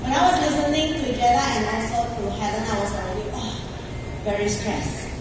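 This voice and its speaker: A Malaysian-accented woman with a fairly high voice speaks from a stage in a motivational style. She engages listeners with frequent short questions. The sound is distant, swimming in heavy hall echo, with clear noise around it. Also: a little fast. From Kota Kinabalu, Sabah, Malaysia. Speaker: speaker giving a testimonial to a live audience. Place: large echoing indoor hall, live event. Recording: echoey hall from far off, loud noise.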